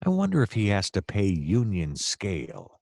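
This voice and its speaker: An actor playing a hapless, excitable private detective in a comedic noir audio play, rambling and theatrical in his exasperation. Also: low-pitched, normal speed, creaky, dry recording, clean studio sound, heavily acted. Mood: dejected, rambling.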